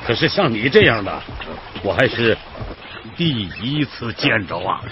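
Radio drama passenger: jovial, curious old man, folksy, mid-pitched with big swings in intonation. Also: big pitch swings. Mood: reflective. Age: older. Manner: chuckling, folksy, drawn-out interjections. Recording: dull, noisy radio drama recording.

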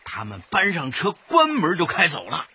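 Very husky, animated older male neighbour. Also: a little high, moderate pace, lo-fi sound, heavily acted. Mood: eager. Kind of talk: radio comedy drama acting.

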